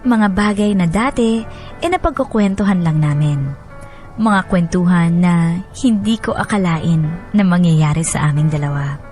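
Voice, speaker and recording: A woman's voice: fairly low pitch, moderate pace, a little mellow, natural voice. A woman playing a happily married young wife, narrating her sentimental letter in a confiding way for a radio drama. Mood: wistful, tender. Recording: voice over background music. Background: music.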